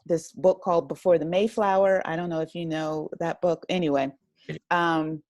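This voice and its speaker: A woman's voice: fairly low pitch, fairly even intonation, moderate pace, darkish tone, no room sound. An American woman in her fifties from New Jersey, reflecting on her life conversationally as an interview guest. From New Jersey, United States. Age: 50s. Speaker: interview guest, writer and director. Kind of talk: conversational interview, personal reminiscence.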